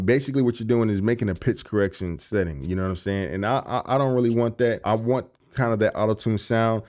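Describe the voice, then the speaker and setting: A young man with a low voice, casually walking through his vocal recording setup in his home studio, speaking into a studio condenser mic. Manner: relaxed, conversational, many fillers like "you know what I'm saying". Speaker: music producer and engineer. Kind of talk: casual tutorial walkthrough. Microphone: large studio condenser on a stand.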